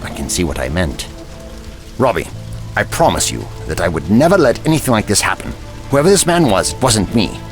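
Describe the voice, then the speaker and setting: A man playing an eccentric, bewildered and fond time traveller in an audio drama, with theatrical, halting speech. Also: on the low side, very animated, faint music behind. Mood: earnest.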